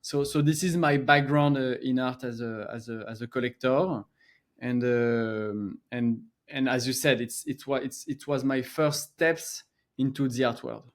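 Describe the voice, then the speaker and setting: A man's voice: medium pitch, fairly even intonation. A middle-aged man from France with a French accent, a company co-founder answering podcast questions with frequent fillers and restarts. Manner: halting, many filler pauses and restarts. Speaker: co-founder and gallery guest. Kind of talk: podcast interview.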